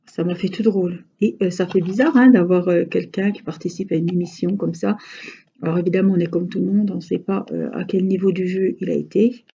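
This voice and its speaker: A chatty woman with a Franche-Comté accent talks casually to her viewers, drawing out her letters and filling pauses with hesitations. Her voice is dark, husky and gravelly. The recording sounds dull and lo-fi. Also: fairly low pitch, fairly even intonation. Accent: Franche-Comté French. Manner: chatty, rambling, frequent hesitations. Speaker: crafting vlogger. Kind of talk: casual chat while crafting.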